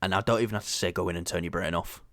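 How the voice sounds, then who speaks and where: A man's voice: low-pitched, steady tone, rapid-fire, fairly bright tone, dry recording, studio quality. A young man with a Yorkshire accent co-hosting a movie review show, chatting casually with lots of fillers and restarts. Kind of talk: casual chat.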